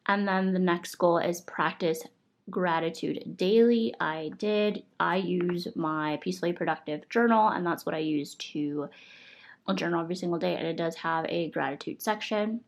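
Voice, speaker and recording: A young woman with a stuffy, congested voice talks casually to camera. Her voice sits a little low for a woman, and she chats along in an upbeat, thankful way, with plenty of fillers. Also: normal speed, gravelly. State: congested from a cold. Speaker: vlogger reviewing monthly goals.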